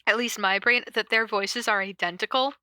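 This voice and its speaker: Female podcast reader, bright tone, dry recording, playful and self-mocking, casual asides amid the narration. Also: medium pitch, steady tone, moderate pace, no echo. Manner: playful, self-deprecating, breaks off to correct stumbles. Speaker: podcast host reading fan fiction aloud. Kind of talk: cold reading of stories with casual asides.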